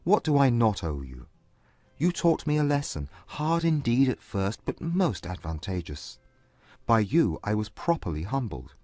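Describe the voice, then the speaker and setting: A man playing a proud but humbled gentleman suitor, earnest and formal, in a dramatized classic romance audiobook. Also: mid-range voice, sing-song, no room sound. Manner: formal, measured period English.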